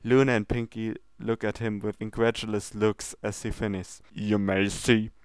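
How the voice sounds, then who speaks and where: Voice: mid-range voice, flat delivery, moderate pace, darkish tone, smooth, no room sound. A narrator reading a comedy fan fiction story from a script.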